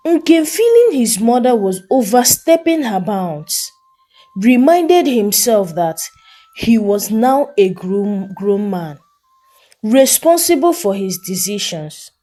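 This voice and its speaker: A woman narrating a folktale as a storyteller, with a measured, expressive and dramatic delivery. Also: medium pitch, soft background music.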